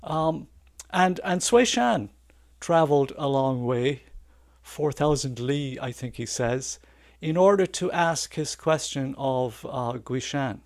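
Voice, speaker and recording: An older man giving a reflective Dharma talk as a Zen teacher, halting and contemplative with thoughtful pauses. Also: medium pitch, lively intonation, a little mellow, no echo, clean studio sound. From Ireland. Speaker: Zen senior assistant teacher.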